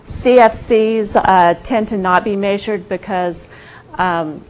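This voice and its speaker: A soft-spoken woman giving an informal guest lecture, hesitant, with many fillers and restarts. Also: mid-range voice, takes it slowly, poor audio. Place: university lecture room.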